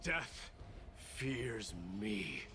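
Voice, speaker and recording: An actor playing a proud, defiant young warrior prince out for vengeance, in a theatrical, emphatic video game style. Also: male voice, medium pitch, lively intonation, very slow, bright, forceful tone, slight background noise, heavily acted. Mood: menacing. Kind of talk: dramatic video game acting. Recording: long reverb under game music.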